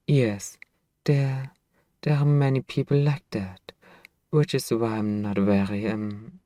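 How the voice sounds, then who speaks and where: A man voicing a possessive yet tender centuries-old vampire royal in an intimate ASMR roleplay, speaking softly and haltingly in a coaxing murmur. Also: on the low side, no room sound. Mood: vulnerable, reassuring. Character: possessive, tender two-hundred-year-old male vampire royal, devoted master. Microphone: close microphone, dry.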